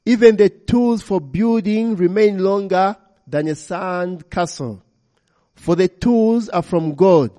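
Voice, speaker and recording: A man voicing the playful, wise spirit of a small girl, speaking gently and earnestly. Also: high-pitched, takes it slowly, voice pushed up. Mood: gently chiding.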